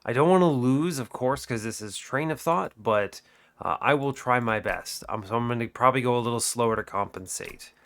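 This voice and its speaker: A man's voice: mid-range voice, a little fast, a bit bright. A young man hosting a gaming channel, talking through a card game while sick, his voice muted and a bit nasal, rambling and correcting himself as he goes. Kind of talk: game commentary. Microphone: desk microphone.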